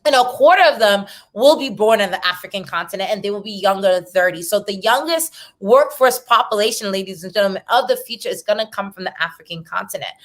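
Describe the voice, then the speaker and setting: A woman with an American accent, of Haitian descent, giving an earnest, emphatic startup pitch and repeating key phrases for weight. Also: lively intonation, quick pace, few pauses. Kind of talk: pitch presentation.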